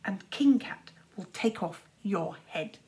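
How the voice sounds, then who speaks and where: A woman's voice: medium pitch, animated, slow. A woman voicing an angry, defiant young wizard boy in a storybook, spitting out the words with fury. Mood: menacing. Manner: shouting and spitting with anger.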